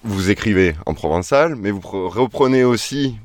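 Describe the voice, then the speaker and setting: A man's voice: on the low side, animated, normal speed, no room sound. A man interviewing guests, putting his questions and remarks in a casual, conversational way.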